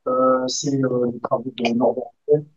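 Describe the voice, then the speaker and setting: Guy in the audience asking a long question, stammers a lot, breathy voice, dry muffled sound. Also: mid-range voice, little pitch movement, moderate pace, dry recording. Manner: stammering, searching for words. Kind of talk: academic discussion. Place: university conference room.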